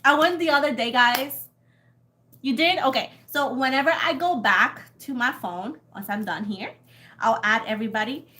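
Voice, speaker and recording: A young woman with a Puerto Rican accent chats loosely with her livestream viewers, her voice sitting a little high. She rambles from one thing to the next, laughs a lot and swears offhandedly. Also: moderate pace, bright, forceful tone. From Puerto Rico. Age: young adult. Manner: chatty and rambling, laughs often, swears casually. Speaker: tarot reader. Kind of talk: casual livestream chat with viewers.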